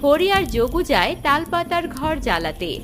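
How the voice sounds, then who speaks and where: A woman narrator tells a folk tale in a slightly high, expressive voice. The delivery is scripted storytelling, with clear music playing behind her. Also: normal speed.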